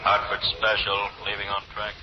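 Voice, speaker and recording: Train caller male actor, flat chanting delivery, fairly low, vintage radio station scene. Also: normal speed, close to an everyday voice. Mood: businesslike. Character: brisk railroad station announcer calling departures. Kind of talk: 1930s radio acting. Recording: muffled, noisy old radio recording.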